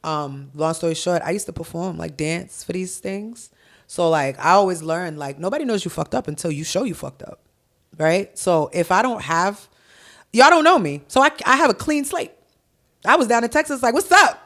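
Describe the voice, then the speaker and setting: A young adult woman from Haiti, a guest on a podcast, telling stories in an animated, profane way over a headset mic in a dark studio. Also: on the low side, moderate pace. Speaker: guest, hip-hop artist. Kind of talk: casual podcast interview. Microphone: headset with boom mic.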